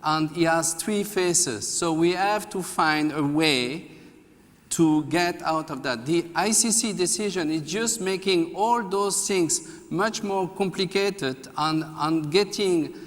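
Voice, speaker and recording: A measured, analytical man laying out his argument on a debate panel. His voice sits slightly higher than average for a man. The sound carries the long reverb of a large hall. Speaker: research fellow on a debate panel. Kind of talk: formal public debate.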